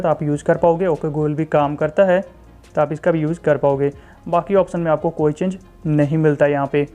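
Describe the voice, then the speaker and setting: An Indian-accented man talks through a software review in a casual way. His voice sits a little high for a man, with a distinctly dark, relaxed tone. He explains step by step, speaking straight to viewers and often restating his points. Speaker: tech channel host reviewing phone software. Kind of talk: casual screen-recording walkthrough commentary.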